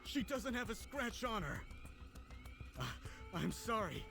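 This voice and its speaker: A woman's voice: on the high side, very animated, slow pace, vocal fry, over music, full character voice. A woman voicing a desperate, frantic attacker who begs for mercy in a game drama. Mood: enraged. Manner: frantic, pleading.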